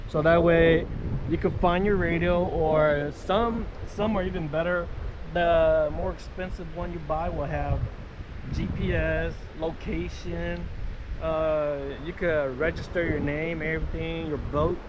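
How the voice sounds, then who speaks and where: A man's voice: a little high, steady tone, a little slow, muffled recording, crowd noise. A man giving a casual, improvised product demonstration as a vlogger, explaining things in an informal, meandering, easygoing way outdoors by the water. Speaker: vlogger demonstrating marine VHF radios. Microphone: action camera. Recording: windy outdoor recording with water noise.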